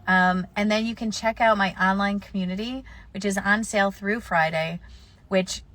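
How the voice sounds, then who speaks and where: A woman's voice: medium pitch, fairly even intonation, moderate pace, fairly bright tone. A woman florist with a slight cold answering viewer questions in a live stream, offering practical advice in a conversational way with plenty of fillers.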